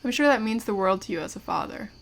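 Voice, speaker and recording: An actress playing a curious, courteous investigative podcaster in an audio drama, speaking conversationally. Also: female voice, medium pitch, lively intonation, fast, vocal fry. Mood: sympathetic. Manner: courteous, conversational, addresses listeners directly. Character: curious, polite female investigative podcaster among zombie fighters.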